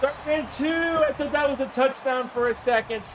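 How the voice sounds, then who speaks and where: A man calling a simulated football game on a live stream, hoarse from the flu, chatting with viewers and breaking into excited shouts on big plays. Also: extremely high pitch, normal speed, background noise. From Florida. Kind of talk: live-stream play-by-play commentary.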